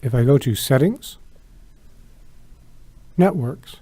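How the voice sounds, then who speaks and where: A man narrating a scripted product tutorial in a measured, methodical, instructional way. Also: mid-range voice, lively intonation, warm tone, no echo. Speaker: product demonstrator.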